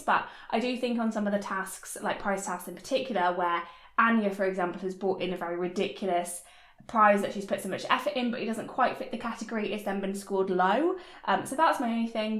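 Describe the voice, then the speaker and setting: A woman reviewing a TV episode in a casual chat, full of "like" and "um", sometimes laughing as she talks. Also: mid-range voice. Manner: chatty, lots of "like" and "um", laughs while talking. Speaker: TV review vlogger. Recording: echoey room.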